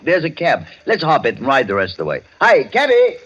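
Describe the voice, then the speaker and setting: A man acting in a radio drama as a hearty, talkative colonial gentleman who uses exclamatory, old-fashioned British turns of phrase. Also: on the high side, huge pitch swings, a little fast, exaggerated voice. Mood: agitated, coaxing. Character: hearty, talkative colonial gentleman, an eager host and sleuth's companion. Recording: dull, lo-fi radio drama recording.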